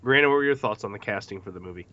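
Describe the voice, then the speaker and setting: Bright-voiced man hosting a podcast. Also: on the low side, racing, no echo, lo-fi sound. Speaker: podcast host. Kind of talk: podcast movie discussion, reading facts from notes.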